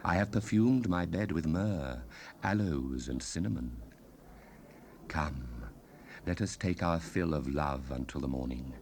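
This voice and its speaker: Man voicing a hushed, coaxing seductress, low-pitched. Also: a little slow. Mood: coaxing, seductive. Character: seductive, flattering, brazen married woman of loose morals. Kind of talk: dramatized Bible reading. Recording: 1970s cassette rip with hiss.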